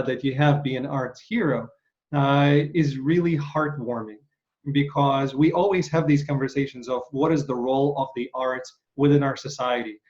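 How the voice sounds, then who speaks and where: A male interview host whose voice is going, so he keeps clearing his throat. He sounds welcoming and rambles with lots of fillers, at an ordinary pitch. The recording is dull and lo-fi. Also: a little mellow. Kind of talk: casual interview chat.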